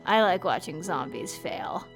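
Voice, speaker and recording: A woman playing a cynical, gloomy ghost in an audio drama, with a deadpan, sardonic delivery. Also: lowish voice, unhurried, vocal fry, over music, full character voice. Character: cynical, gloomy female ghost who enjoys others failing.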